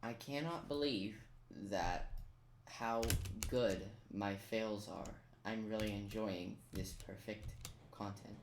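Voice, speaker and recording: Casual gameplay guide, chatty, self-deprecating, thinking aloud, mid-pitched voice, roomy echo, keyboard clicks. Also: slow pace, a little hiss or hum. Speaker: video game guide commentator. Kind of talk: casual gameplay tutorial commentary. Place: indoors in a roomy-sounding room at a computer. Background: keyboard typing and clicks.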